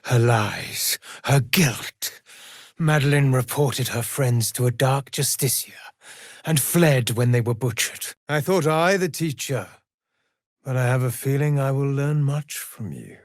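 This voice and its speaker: An actor playing a mysterious, brooding male avenger in a video game, speaking in grave, measured, formal phrases with a gravelly, hushed edge. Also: mid-range voice, animated, dry recording, studio quality. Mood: cold, accusing. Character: mysterious, brooding male avenger who hunts and torments murderers. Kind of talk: dramatic video game voice acting.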